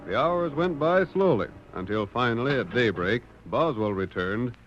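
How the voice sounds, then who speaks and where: A man's voice: mid-range voice, animated, normal speed, lightly acted. A man narrating a western in dramatic 1940s radio style. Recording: muffled old radio recording, music behind.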